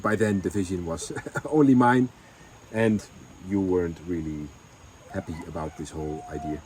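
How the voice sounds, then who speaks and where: A man's voice: low voice, moderate pace, darkish tone, slight background noise, rough recording. A man telling his family's story in a casual chat, with many ums and restarts. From Netherlands. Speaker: vlogger.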